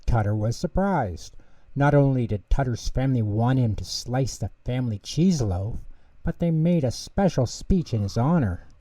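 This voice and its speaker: Male storyteller for children's book narration; dark, relaxed tone, mid-range pitch, distant mic. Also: moderate pace. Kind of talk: scripted bedtime story narration. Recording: echoey room, distant mic.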